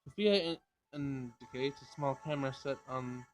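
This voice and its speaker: A man's voice: medium pitch, moderate pace, soft background music. A man reading visual novel narration aloud, sometimes stumbling over the text and rereading it.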